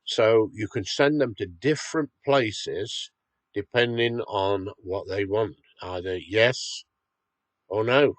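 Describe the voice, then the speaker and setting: A man with a slightly nasal, stuffed-up voice from a cold talks through a software tutorial at an unhurried pace. His voice sits fairly low, and he keeps checking in with "okay" as he guides viewers. The sound is dry and close, with no room echo. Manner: patient, step-by-step, checks in with "okay". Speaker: website owner demonstrating a tool. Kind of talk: screen-recorded software tutorial.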